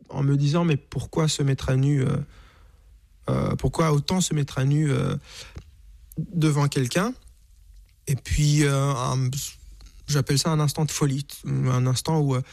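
A man's voice: a little high, gravelly, a little mellow. A man in his forties from Rwanda, a singer and author, answering in a reflective radio interview, often hesitating and repeating words.

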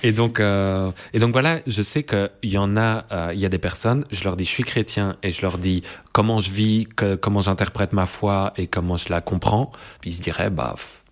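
A young man with a deep, low voice speaks slowly and thoughtfully, pausing often to find his words. He reflects calmly in a conversation, restarting phrases as he works through his ideas. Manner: thoughtful, searching, many pauses and restarts. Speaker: interviewee.

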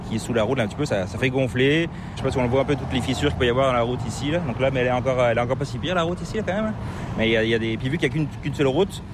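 A quick-talking man with a French accent that has picked up some Québécois, talking easily and repeating himself as he thinks. He is recorded inside a moving car, with clear road noise behind him. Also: medium pitch. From Brittany, France. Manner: relaxed, frequent hesitations and repeats. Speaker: interviewee. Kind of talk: casual chat.

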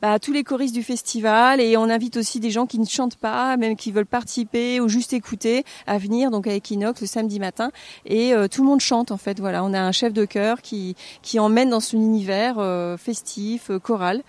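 A woman directing a choral festival, explaining the program in an interview with many pauses and fillers. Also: a little high, fairly even intonation, darkish tone, no echo. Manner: hesitant, many fillers and restarts. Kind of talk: radio interview.

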